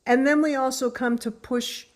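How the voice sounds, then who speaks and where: A woman's voice: high voice, fairly even intonation, normal speed. An older American woman, a musician speaking casually as an interview guest.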